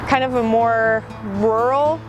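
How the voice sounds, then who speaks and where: A woman's voice: fairly high pitch, steady tone, slow, muffled recording. A woman from Utah answering interview questions in a thoughtful way with um fillers. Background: background music.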